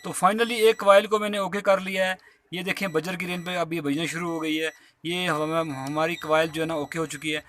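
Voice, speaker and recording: A man's voice: a little high, little pitch movement, normal speed, fairly bright tone, soft background music. A man from Azad Kashmir with a Pakistani accent, explaining a hands-on repair step by step in a polite, informal, repetitive way into a handheld phone. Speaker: repair tutorial host. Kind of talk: informal hands-on tutorial narration. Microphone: handheld phone while working.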